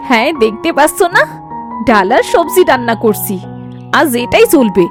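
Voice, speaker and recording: A woman's voice: high voice, big pitch swings, normal speed, music in the background. A woman voicing a bossy, exasperated young wife in a cartoon, whiny, melodramatic and scolding. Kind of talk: cartoon family drama acting.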